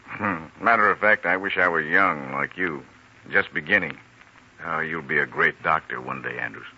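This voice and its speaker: A man's voice: rumbling low, moderate pace, pushed and bright, slight background noise, full character voice. An actor playing a kindly, world-weary older senior doctor in a 1940s radio drama, musing and hesitant in a fatherly way. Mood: warm, wistful. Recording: dull old radio recording.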